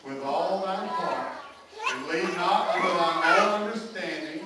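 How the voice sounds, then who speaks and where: A man's voice: high-pitched, measured pace. A man presenting a children's church puppet show in a playful, chatty way, speaking to the kids. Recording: roomy, distant, very noisy live hall recording.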